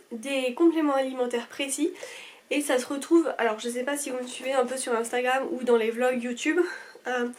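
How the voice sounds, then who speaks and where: Stuffy-nosed young woman, high voice. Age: 20s. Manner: chatty, rambling, many fillers and self-corrections. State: stuffy, blocked nose from a cold. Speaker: beauty vlogger. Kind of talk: casual product review chat.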